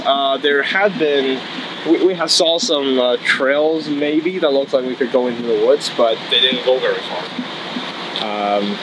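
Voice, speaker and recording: A man in his thirties filming an electric car road-trip vlog at highway rest stops, talking to camera in a relaxed way with lots of "uh" fillers. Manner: relaxed, frequent "uh" fillers, matter-of-fact. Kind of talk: casual travel vlog to camera. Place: outdoors at highway rest stops and charging stations. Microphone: camera mic, filmed at close to mid range. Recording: outdoor camera audio with clear background noise.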